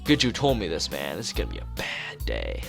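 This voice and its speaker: A man acts out a paranoid young male recluse from a visual novel, agitated and alarmist. The delivery swings widely in pitch and complains dramatically, with music underneath. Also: huge pitch swings, brisk, vocal fry, exaggerated voice. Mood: despairing. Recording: music playing behind the voice.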